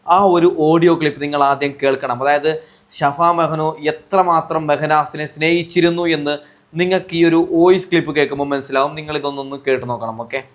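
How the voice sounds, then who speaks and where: A man's voice: on the high side, steady tone, fast. A man giving indignant, accusatory commentary to viewers, repeating himself and throwing out rhetorical questions. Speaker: vlogger commenting on social media disputes.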